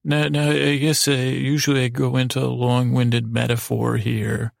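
A man hosting a bedtime podcast in a meandering, halting monologue, repeating himself and pausing as he goes. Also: mid-range voice, completely flat delivery, creaky, dry recording, studio quality. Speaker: podcast host. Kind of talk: rambling bedtime podcast monologue, meant to lull.

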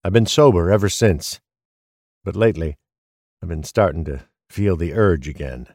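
An actor playing a weary middle-aged police detective, a recovering alcoholic, confessing in a subdued, reflective way in an audio drama. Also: male voice, low-pitched, normal speed, a little mellow, no echo, clean studio sound. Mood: weary, confessional.

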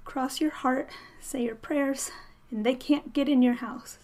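A woman's voice: high-pitched, steady tone, a little slow, darkish tone. An actress playing a frightened, sardonic woman lost alone in the desert, muttering to herself in an audio drama. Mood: wry, uneasy. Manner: muttering to herself, breaking into whispers and desperate calls.

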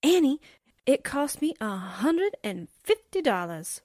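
Breezy high-voiced young gal played by a woman. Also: very animated, unhurried, darkish tone, no echo, studio quality, exaggerated voice. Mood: proud, teasing. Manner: slangy, chirpy, playful. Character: breezy, man-hungry young gal, reporter's chummy sidekick. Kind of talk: 1940s radio acting.

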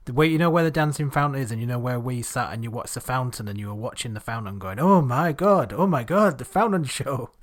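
A young man from Bradford co-hosting a podcast, rambling and laughing as his sentences trail off quietly. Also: mid-range voice, animated, fast talker, hardly any pauses. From Bradford, Northern England. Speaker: podcast co-host. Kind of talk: casual chat.

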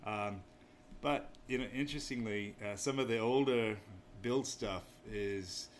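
A man's voice: fairly low pitch, measured pace. An American man from Maine chatting casually as an interview guest, in a hesitant, modest, understated way. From Maine, United States. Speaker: interview guest, inn owner. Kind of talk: casual chat. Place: small pub off an inn lobby. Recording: faint background noise.

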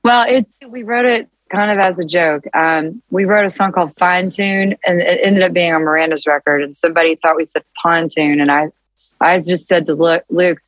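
A woman with a naturally deeper, lower voice recounts songwriting stories in an easy conversational way. She restarts her sentences often and keeps the energy lively. The sound is dry and close. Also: no room sound, highs cut off. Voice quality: deeper, low-register voice. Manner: animated storytelling with frequent restarts and laughs. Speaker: songwriter guest. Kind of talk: casual interview chat.

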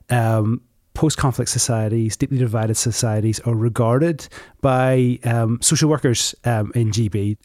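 A man's voice: medium pitch, darkish tone, no room sound, studio quality. A Northern Irish man hosting a podcast discussion, chatty and joking as he prompts his guests. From Northern Ireland. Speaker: podcast host.

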